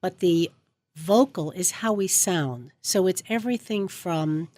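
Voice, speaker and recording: A woman's voice: fairly low pitch, a little slow, darkish tone. A middle-aged woman, a speech coach, explaining voice habits on a radio show in a studio. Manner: demonstrates voice habits with her own voice.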